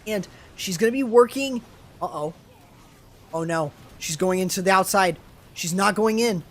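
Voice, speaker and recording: A young man commenting live on a video game match, excitable and quick to burst into exclamations, his voice strained and starting to go hoarse. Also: sits high. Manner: excitable, rising to sudden exclamations. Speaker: esports commentator and streamer.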